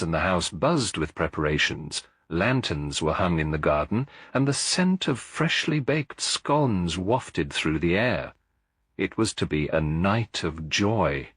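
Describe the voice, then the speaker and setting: A man narrating a detective story as a scripted audiobook, in a measured, formal storytelling manner with a husky voice. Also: low voice, a bit bright, dry recording, clean studio sound.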